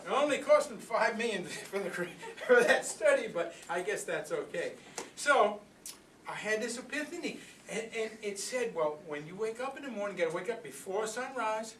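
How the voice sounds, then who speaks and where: A man's voice: sits high, lively intonation, normal speed, fairly bright tone. An older man playing a proud, philosophical old rooster in a folksy, rambling comic monologue. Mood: earnest, wondering. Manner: folksy, rambling, conversational storytelling with repeated phrases. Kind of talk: comic monologue performed live for an audience. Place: indoor workshop room before a group. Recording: echoey room, distant mic, background noise.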